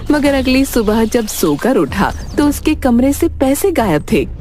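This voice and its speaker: A woman's voice: high voice, very animated, unhurried, a little mellow, slight background noise. A woman narrator reading a scripted story in storytelling style.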